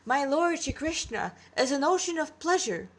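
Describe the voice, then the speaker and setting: A woman voicing a cheerful, patient divine male sage in a story, speaking brightly, reverently and instructively. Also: high-pitched, expressive, moderate pace, voice pushed up.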